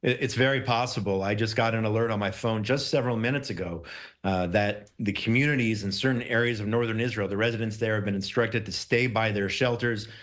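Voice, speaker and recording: A man's voice: fairly low pitch, steady tone, a little fast, a bit bright. A man speaking as a correspondent in a live news interview, emphatic and repeating key words for stress. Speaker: Middle East correspondent, interview guest.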